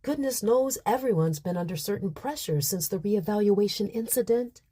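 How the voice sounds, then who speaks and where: Actress for female HR officer in radio play: mellow, very animated pitch, pointedly polite. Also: moderate pace, no echo, studio quality, close to an everyday voice. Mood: ingratiating. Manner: effusively courteous, formal, pointed. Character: polished, probing female human resources officer.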